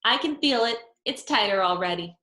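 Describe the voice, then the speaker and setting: A feisty, chatty little girl from a children's storybook, acted out by a woman reader. The voice is high and swoops around with childish, emphatic stubbornness. It sounds recorded in an echoey room. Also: lively intonation, normal speed, pushed and bright. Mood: proud, insistent. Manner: childish emphatic delivery with kid grammar. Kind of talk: children's storybook read-aloud.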